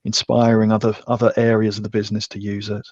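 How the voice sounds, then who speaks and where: Low, even-voiced man, slightly muffled sound. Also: quick pace, no echo. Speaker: podcast guest, technical architect. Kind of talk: conversational technical explanation in an interview.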